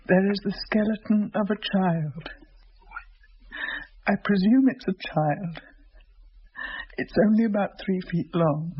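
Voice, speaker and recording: An actress playing a frightened, haunted wife in a radio drama, speaking in a hushed, grave way. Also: female voice, fairly low pitch, slightly rough. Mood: shaken, grave. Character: frightened, haunted wife sensing something dreadful. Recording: dull, hissy old radio recording.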